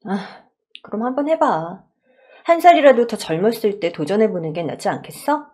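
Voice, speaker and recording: Woman playing a supportive young wife, lightly husky. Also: medium pitch, lively intonation, moderate pace, a little mellow. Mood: encouraging. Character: supportive, gentle young wife encouraging her husband.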